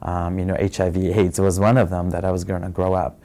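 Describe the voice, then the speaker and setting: A man from Fiji speaks with a low, mellow voice, recounting his life in a soft and reflective way. He pauses often and fills gaps with small hesitations, unhurried and personal. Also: level tone. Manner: soft-spoken and reflective, many pauses and fillers. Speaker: interviewee sharing his story. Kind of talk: personal testimony.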